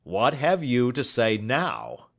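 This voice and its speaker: A man voicing a belligerent, gruff poultry salesman who talks loud and combative in an audiobook story. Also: medium pitch, huge pitch swings, slow pace, pitched above the performer's own voice, exaggerated voice.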